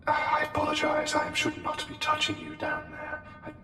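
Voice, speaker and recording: A man voicing an otherworldly, courtly plague doctor in an intimate ASMR roleplay, speaking formally and deliberately with hesitant pauses. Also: very high-pitched, completely flat delivery, voice pushed up. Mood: flustered, fascinated. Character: courtly, intense male plague doctor, an otherworldly anomalous being. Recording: echoey hall reverb, music behind. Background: music.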